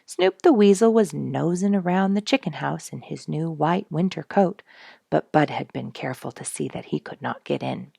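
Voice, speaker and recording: A woman reading a children's animal story in an expressive, scripted storytelling style, with a husky, creaky voice. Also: deep voice, normal speed, no room sound, clean studio sound. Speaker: storybook reader and host.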